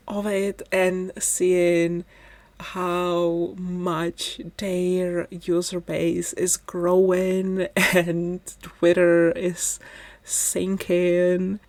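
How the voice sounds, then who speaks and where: A woman's voice: lowish voice, darkish tone, no room sound. A woman chatting casually in a voice-over for her Sims channel, tired and losing her voice late at night, rambling and correcting herself with small laughs. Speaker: Sims content creator.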